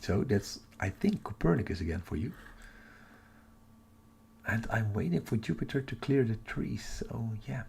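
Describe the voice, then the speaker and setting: Guy doing a casual livestream, half whispering, kinda croaky, noise in the background. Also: very animated, measured pace, pauses often, darkish tone. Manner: keeping voice low for sleeping household. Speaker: astronomy livestream host. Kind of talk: casual live chat with viewers.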